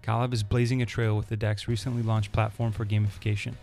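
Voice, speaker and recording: A man hosting an interview podcast, introducing a guest and asking relaxed, conversational questions. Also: fairly low pitch, quick pace, gravelly, soft background music. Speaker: podcast host.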